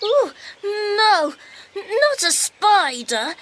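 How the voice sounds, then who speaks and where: A very high, slow-speaking little kid, insistent and whiny in a storybook tale. The delivery is emphatic and lively, with chirping birdsong and noise behind it. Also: female voice, exaggerated voice. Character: insistent small child who wants a big pet. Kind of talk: children's storybook dramatization.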